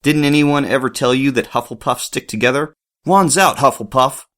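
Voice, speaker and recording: A man's voice: huge pitch swings, fairly quick. Man putting on a formal, proper schoolboy. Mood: shouting. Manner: formal and proper, sudden high shouts. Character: formal, upright schoolboy loyal to his house.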